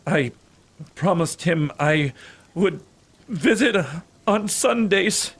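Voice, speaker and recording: An actor playing a gentle, grieving father in an audio drama, tender and halting, with a husky voice. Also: high voice, animated, full character voice. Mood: tender, subdued.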